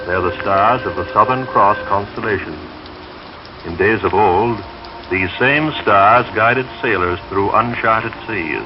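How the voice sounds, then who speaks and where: A man with a lowish voice reads slowly and soothingly, in the style of a late-night radio announcer. The sound is dull and old-fashioned, with music playing behind him. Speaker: radio announcer and poetry reader. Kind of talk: slow dreamy late-night radio reading of verse and sponsor copy.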